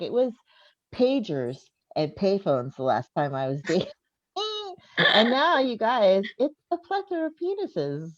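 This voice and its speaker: Woman in her fifties doing animated stand-up. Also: mid-range voice. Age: 50s. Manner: conversational, often chuckling at own jokes. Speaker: stand-up comedian. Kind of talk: stand-up comedy set to an audience. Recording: dull, echoey live venue recording.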